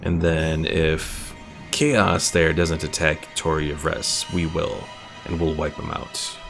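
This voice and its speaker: Guy with a deep voice doing chill game stream commentary, some music behind him. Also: little pitch movement, takes it slowly, creaky, a bit bright. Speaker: livestreamer, host of a gaming stream. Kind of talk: casual strategy game commentary, chatting with viewers.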